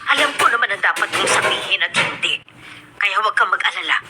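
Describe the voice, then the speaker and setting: A woman playing a concerned middle-aged schoolteacher in a radio drama, with a Filipino accent and gentle pleading manner. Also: medium pitch, animated, quick pace, close to an everyday voice. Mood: reassuring. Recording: noisy radio playback.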